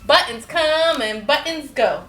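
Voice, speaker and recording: A woman reading a children's storybook aloud as host, playful and animated, with questions for a child and singsong refrains. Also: sits high, unhurried, reverberant, faint music behind.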